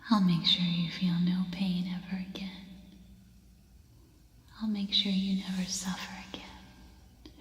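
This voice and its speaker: A woman playing an unhinged, coaxing cannibal survivor in an ASMR roleplay, in a soft breathy whisper that soothes and menaces. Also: on the low side, little pitch movement, measured pace, pauses often, close to an everyday voice. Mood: soothing, sinister.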